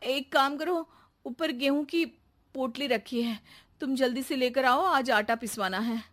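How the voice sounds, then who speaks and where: A woman's voice: sits high, measured pace, a bit bright. A woman voicing an exasperated husband in a cartoon, fed up and dramatically pleading. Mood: irritated. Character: exasperated husband, employer of a maddening housemaid. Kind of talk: Hindi cartoon voice acting.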